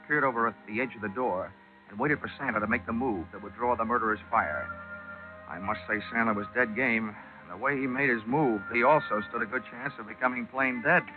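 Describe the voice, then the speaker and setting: Male actor doing a tough wisecracking old time radio detective guy, mid pitched, dry wit, lo-fi vintage broadcast. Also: natural voice. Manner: wry, deadpan, tough. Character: wisecracking, hardboiled male insurance investigator, cool and cocky. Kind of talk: 1940s radio detective narration and acting. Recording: dull old 1940s radio recording.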